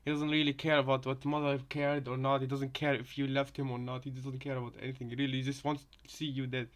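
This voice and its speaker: A man casually commenting on game voice lines as a co-host, rambling and circling back over his words in a halting way. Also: mid-range voice, little pitch movement. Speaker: reaction video co-host.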